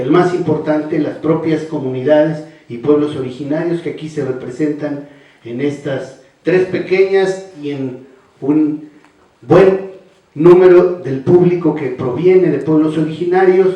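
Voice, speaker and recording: An older man giving a measured formal speech as a panelist, often ending phrases with a questioning "no". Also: a little high, warm tone. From Mexico. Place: large hall. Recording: echoey hall, voice from far off.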